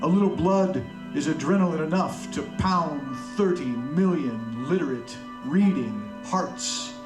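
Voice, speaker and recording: Man playing a slow, brooding dead narrator. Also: a little high, close to an everyday voice. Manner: slow, florid, deliberate. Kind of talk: poetic radio noir monologue. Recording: roomy stage sound with guitar behind.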